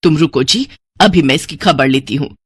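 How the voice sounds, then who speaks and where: A woman's voice: low voice, normal speed, slightly rough, no room sound, voice pushed down. A woman voicing a greedy, spiteful older mother-in-law who taunts and scolds in a family cartoon. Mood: angry, vengeful. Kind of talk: Hindi family cartoon dialogue.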